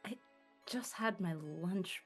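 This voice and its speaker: A woman's voice: mid-range voice, slow pace, breathy edge, faint music behind. A woman playing a shy, awkward, friendly young woman in a live comedic table read. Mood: flustered.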